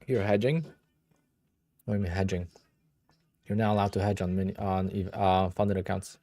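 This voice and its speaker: Blunt Polish-accented man, low voice. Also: fairly even intonation, normal speed, dark tone, no echo. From Poland. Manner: blunt, offhand, distracted. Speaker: livestream host. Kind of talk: casual livestream chat answering viewer questions.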